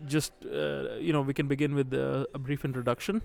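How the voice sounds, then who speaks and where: Gravelly-voiced man interviewing hesitantly. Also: mid-range voice, level tone, brisk, darkish tone. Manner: hesitant, many fillers. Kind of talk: conference interview.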